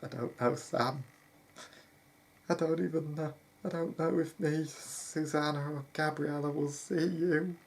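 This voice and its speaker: A storyteller voicing a protective older brother, an anxious and sorrowful young nobleman who speaks earnestly and haltingly. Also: mid-range voice, steady tone, fairly bright tone, lightly acted.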